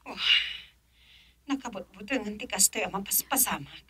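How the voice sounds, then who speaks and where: A woman acting an excitable, alarmed madam in an Ilocano radio drama. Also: medium pitch, moderate pace, slightly rough. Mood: worried.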